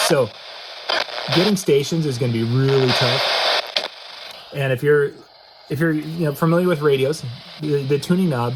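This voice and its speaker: A man's voice: fairly high pitch, noisy background. A man giving a casual, unscripted product demo in a folksy, conversational way, repeating himself for emphasis. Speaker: product reviewer, host of an outdoor gear channel. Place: inside a metal building.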